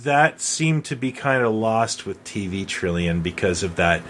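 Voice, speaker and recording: A man's voice: fairly low pitch, lively intonation, a little slow, a bit bright, slight background noise. A middle-aged man co-hosting a podcast, reminiscing in casual conversation. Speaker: podcast co-host.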